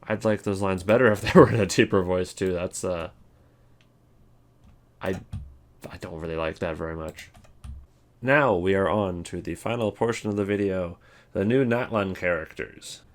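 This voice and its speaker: A man giving casual, opinionated video commentary on game voice lines, thinking aloud in halting, rambling sentences. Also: low-pitched. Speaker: video host.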